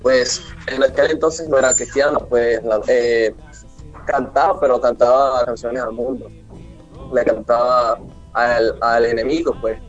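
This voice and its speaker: A man's voice: mid-range voice, steady tone, unhurried. Young Venezuelan man, dull lo-fi sound. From Mérida, Venezuela. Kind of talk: radio interview, devotional testimony. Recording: dull lo-fi remote link, music behind. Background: music.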